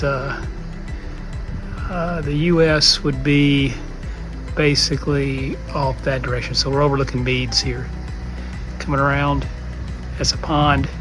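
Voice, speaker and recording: A man talking casually and plainly as a radio hobbyist, narrating while he shows his gear. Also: medium pitch. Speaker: amateur radio hobbyist on holiday.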